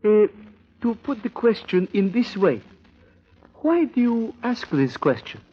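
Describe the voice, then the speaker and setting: Fussy precise little gem seller, old radio drama, voice swoops all over, dull lo-fi sound. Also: male voice, high-pitched, very animated, unhurried, breathy edge, exaggerated voice. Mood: precise, proud. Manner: pedantic, hesitant, formally worded. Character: fussy, precise male artificial-gem maker, self-important. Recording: muffled vintage radio recording.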